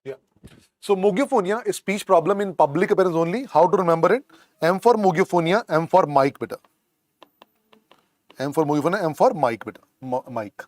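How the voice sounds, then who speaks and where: A man's voice: sits high, animated, brisk, pauses often, a little mellow, dry recording. Indian English male tutor, repetitive drilling delivery, dry close recording. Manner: repetitive, drills phrases, calls students "beta". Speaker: medical exam lecturer. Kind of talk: classroom lecture. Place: lecture room.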